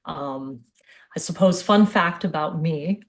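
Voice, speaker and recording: American woman, middle-aged, kinda low voice, chatty informal online talk as a physical therapist. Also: slow. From Hawaii, United States. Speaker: pelvic floor physical therapist presenting. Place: indoors on a video call.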